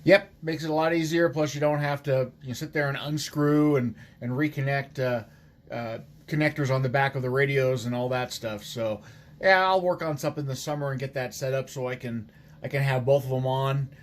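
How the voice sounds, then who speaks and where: A man speaking as an amateur radio operator in casual conversation, in a halting, hesitant way. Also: mid-range voice, steady tone.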